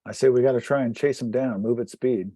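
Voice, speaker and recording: A man's voice: medium pitch, fairly even intonation, a little fast, dark tone, no echo. A man chatting casually and in slang as a player in a tabletop game.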